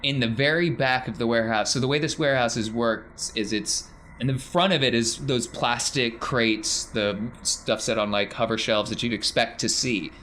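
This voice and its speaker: A man's voice: mid-range voice, normal speed, fairly bright tone, a little hiss or hum. A man acting as game master, casually narrating a tabletop game with playful asides. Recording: music behind the voice.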